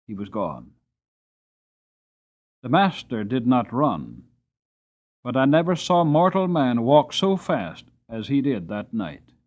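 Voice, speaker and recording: Male audiobook narrator, expressive dramatic reading, middle voice, dull recording. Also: medium pitch.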